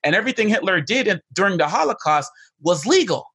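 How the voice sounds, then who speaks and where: A man's voice: high-pitched, very animated, fast, bright, forceful tone, husky. A man answering interview questions earnestly, stressing his points by repeating key phrases. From United States. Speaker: guest, educator on hate and extremism.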